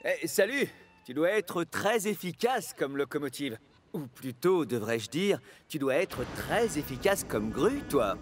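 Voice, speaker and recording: Cheerful cartoon dock locomotive: confident, easygoing, slightly high and very animated. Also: male voice, measured pace, soft background music. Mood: cheerful, curious. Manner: easygoing, encouraging. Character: cheerful, self-assured, friendly male dockyard steam engine. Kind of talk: children's cartoon acting.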